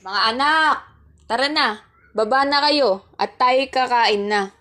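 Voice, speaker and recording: A woman's voice: high-pitched, takes it slowly, bright, forceful tone, full character voice. A woman playing a caring, hard-pressed mother in an amateur radio drama, with faint noise behind her. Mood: brisk, caring. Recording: faint noise, clicks and clatter.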